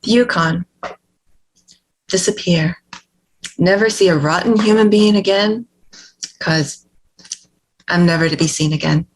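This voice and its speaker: A woman's voice: on the low side, a little slow, long pauses, rough recording. A woman playing a determined, world-weary prison runaway in a screenplay table read, terse and guarded.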